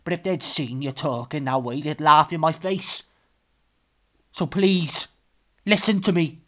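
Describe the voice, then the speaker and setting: A man's voice: on the high side, measured pace, fairly bright tone. Man acting a jealous, threatening boyfriend. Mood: angry, pleading. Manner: confrontational, intense. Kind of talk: dramatic monologue.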